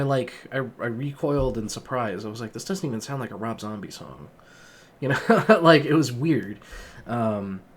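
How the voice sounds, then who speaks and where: A young man with a sick, sniffly voice giving a casual, rambling spoken review of an album, full of fillers and restarts. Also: medium pitch. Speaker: music vlogger.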